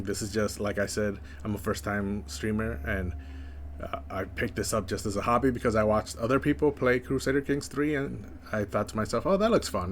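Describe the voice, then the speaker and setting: A young man with a slight lisp, chatting casually as a first-time streamer in a flat, monotone way with many fillers. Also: lowish voice, slight background noise. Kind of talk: casual chat.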